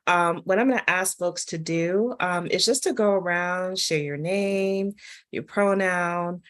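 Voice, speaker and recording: A woman author hosting a reading event, speaking informally to the participants. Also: sits low. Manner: informal, uses y'all.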